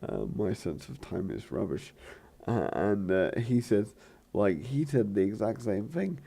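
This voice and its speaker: Croaky low-voiced guy rambling over a let's play, lots of ums and restarts, voice bounces up and down. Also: warm tone. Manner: hesitant, many fillers and restarts. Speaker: gaming channel host.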